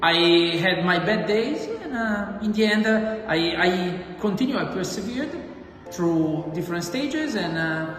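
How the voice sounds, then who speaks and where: Casting note: a man whose first language is not English. He speaks haltingly, with lots of "uh"s and restarts. Reverberant hall, with clear music playing underneath. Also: high-pitched, expressive, a bit bright. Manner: hesitant, many fillers and restarts. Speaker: school staff member. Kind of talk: recorded assembly talk.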